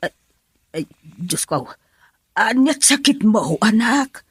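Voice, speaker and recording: A woman's voice: fairly high pitch, sing-song, takes it slowly, husky, dry recording, clean studio sound, exaggerated voice. A woman playing an anxious Ilocano mother. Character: worried middle-aged mother. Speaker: mother character. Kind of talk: Ilocano radio drama acting.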